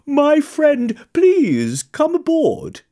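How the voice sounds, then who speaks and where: Casting: sweet, lonely giraffe, very high voice, kindly and courteous, full of lilt played by a woman. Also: wildly swooping pitch, drawn-out words, mellow, heavily acted. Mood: kindly, encouraging. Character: gentle, lonely, friendly giraffe, high and airy. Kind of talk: children's storybook character voice.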